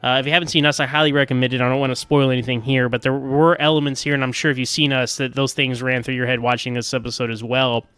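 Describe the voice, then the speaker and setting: Bright, clear-voiced man reviewing TV. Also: medium pitch, fast, few pauses. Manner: rambling, animated, stammering repeats of words. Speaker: podcast host. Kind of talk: casual TV episode review commentary.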